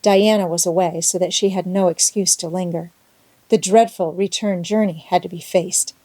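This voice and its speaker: A woman narrates an audiobook in a mellow, slightly low voice. Her scripted storytelling is expressive, and the sound is very dry, with no room around it. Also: moderate pace, no room sound.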